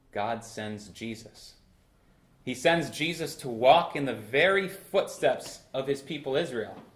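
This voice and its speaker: A young man in his twenties preaching a sermon from a church pulpit, with bursts of emphasis and slow, drawn-out phrases. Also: medium pitch. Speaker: pastor. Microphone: far camera in a roomy church. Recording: echoey church room.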